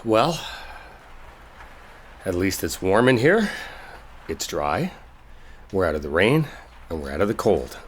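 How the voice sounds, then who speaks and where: A man's voice: low-pitched, big pitch swings, slow pace, long pauses, slight background noise, exaggerated voice. A man acting a brash, exasperated young coworker in an intimate roleplay audio, speaking casually with sarcasm and swearing. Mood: resigned, practical.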